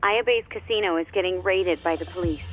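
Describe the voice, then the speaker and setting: A low-voiced ally on the phone. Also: female voice, a little fast. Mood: concerned, composed. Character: composed, supportive woman informant ally. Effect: phone call filter. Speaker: video game voice actor. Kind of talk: English video game cutscene acting. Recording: dull phone call, music behind.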